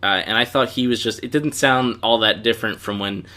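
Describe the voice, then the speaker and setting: A man's voice: mid-range voice, fairly quick, bright, forceful tone. A man co-hosting a podcast, giving opinionated critique in a casual, conversational review style. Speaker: podcast co-host reviewing animated series.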